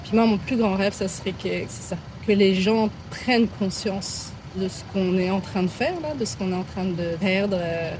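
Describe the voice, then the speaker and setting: A woman's voice: medium pitch, normal speed, few pauses. Woman, thoughtful and hesitant. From France. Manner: thoughtful, hesitant, laughs often. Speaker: interviewee, passer-by. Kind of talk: casual street interview. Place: outdoor city park. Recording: outdoor park noise, traffic.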